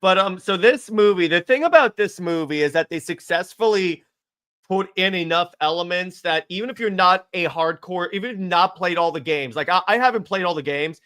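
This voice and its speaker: A man's voice: high voice, animated, a little fast, sharp tone, clean tone. A man co-hosting a podcast, casually talking through a movie in a conversational way.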